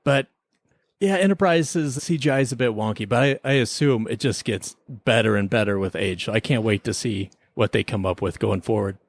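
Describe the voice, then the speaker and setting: A man's voice: fairly low pitch, moderate pace, dry recording. A man talking casually as a podcast co-host, giving his review of a TV episode.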